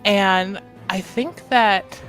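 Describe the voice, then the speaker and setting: A woman playing a tabletop roleplaying game, thinking aloud in a halting, apologetic way as she narrates. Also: medium pitch, a bit bright, soft background music. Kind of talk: improvised actual-play roleplay, casual narration.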